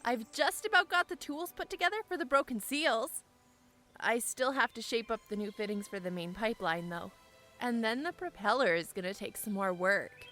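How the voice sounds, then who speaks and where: A woman's voice: a little high, expressive, moderate pace, pushed and bright, soft background music. A woman playing a weary, stubborn airship pilot and mechanic in a fantasy audio drama, plain-spoken and insistent. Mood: matter-of-fact.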